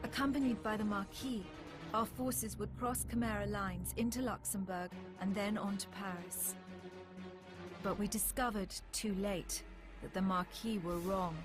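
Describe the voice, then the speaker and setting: An actress playing a military officer, narrating a video game war story in a measured, grave way. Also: female voice, medium pitch, fairly even intonation, lightly acted. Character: sober woman military officer recounting the war. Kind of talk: scripted narration. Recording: music behind.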